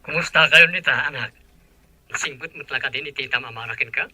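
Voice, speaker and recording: A man's voice: medium pitch, fast, pushed and bright, husky, no echo. An actor playing a caring middle-aged father in a radio drama, talking to his child. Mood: affectionate. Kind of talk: Filipino radio drama acting.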